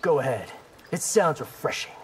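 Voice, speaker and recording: A man's voice: fairly high pitch, animated, normal speed, lightly acted. A man voicing a cocky, determined young male ninja fighter in video game fight banter. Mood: cocky, defiant. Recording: long hall reverb, loud noise behind.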